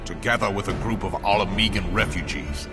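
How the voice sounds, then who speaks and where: An actor playing a stern, authoritative male military commander in a video game, speaking in a formal, grave, deliberate way. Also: fairly low pitch, loud music behind the voice, lightly acted. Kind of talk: scripted video game cutscene dialogue.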